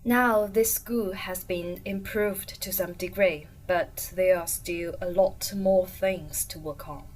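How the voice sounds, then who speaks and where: A woman reading a scripted documentary narration in a plain, measured way. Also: lowish voice, fairly even intonation, distant mic, low-quality recording.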